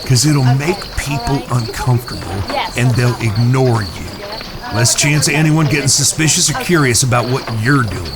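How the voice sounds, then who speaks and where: An actor in an audio drama playing the cautious, bossy older brother who leads Old West outlaws, with a gravelly voice. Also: male voice, mid-range voice, animated, normal speed, over loud music, lightly acted. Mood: firm, weary. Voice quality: creaky, gravelly.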